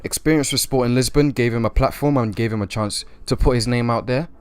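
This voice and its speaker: A man's voice: medium pitch, fairly quick, clear, resonant tone, dry recording. A young man hosting a football countdown, talking through his picks with chatty, opinionated asides. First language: English. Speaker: football video host. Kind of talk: scripted countdown commentary.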